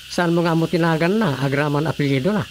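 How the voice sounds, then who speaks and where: A man playing an expressive village man giving advice in radio drama dialogue. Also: fairly high pitch, fast, slight background noise, close to an everyday voice. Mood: helpful.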